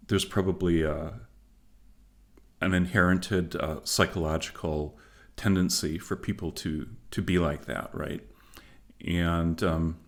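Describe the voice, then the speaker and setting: A middle-aged man, a philosopher and author, speaking as a podcast guest in a measured, reflective way with frequent pauses and fillers. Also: deep voice. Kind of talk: podcast interview.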